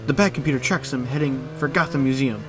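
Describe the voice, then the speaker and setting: Guy doing cocky young sidekick hero, eager and brash, audio drama w/ loud music under it. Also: mid-range voice, fast talker, natural voice. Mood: excited. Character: cocky, excitable young male superhero sidekick.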